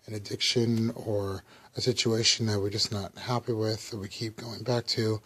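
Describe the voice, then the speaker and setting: A man with a slightly raspy voice, casually talking through a weekly tarot reading with loose, rambling explanations. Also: fairly low pitch, level tone, normal speed, fairly bright tone. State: slightly raspy, under the weather. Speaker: tarot reader.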